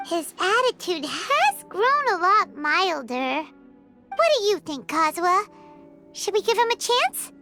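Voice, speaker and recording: A woman's voice: very animated, unhurried, bright, forceful tone, faint music behind, full character voice. A woman voicing a tiny, childlike fairy companion in a video game, cheeky and cutesy in manner. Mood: reassuring, curious. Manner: squeaky, cutesy, refers to self in third person. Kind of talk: cartoonish video game voice acting.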